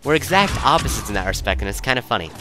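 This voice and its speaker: A man's voice: medium pitch, animated, racing, music in the background. A young man giving rambling, casual commentary in an easygoing, self-deprecating, digressive way. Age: young adult. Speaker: video creator and commentator.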